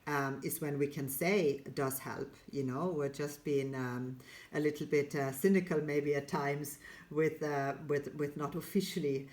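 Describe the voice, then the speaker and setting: A woman hosting a casual live chat, chatty and quick to agree. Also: sits low, steady tone, darkish tone. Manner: chatty, lots of agreeing backchannels. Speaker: health store owner, host.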